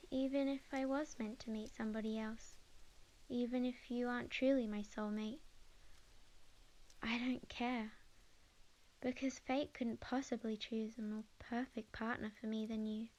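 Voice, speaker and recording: A woman with an Australian accent playing a young lovestruck witch in a soft, intimate ASMR romance roleplay, earnest and hesitant, sometimes near a whisper. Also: on the high side, level tone, a little slow, long pauses, natural voice, low-quality recording. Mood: tender, earnest. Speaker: ASMR audio roleplay performer.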